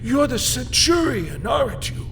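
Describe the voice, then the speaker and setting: Man playing a whiny, high-pitched, creaky politician. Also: very animated, a little slow, over music, exaggerated voice. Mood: weak, wary. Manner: whiny, indignant, pompous. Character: self-important, entitled male politician, a rescued kidnap victim. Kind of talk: radio drama acting.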